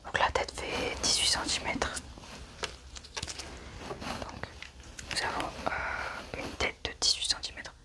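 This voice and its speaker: A whispered ASMR voice in the role of a medical examiner. The delivery is soft and methodical, describing each step of the procedure in a hushed breath. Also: slow, long pauses. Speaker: ASMR roleplayer acting as an examiner doing an alien autopsy.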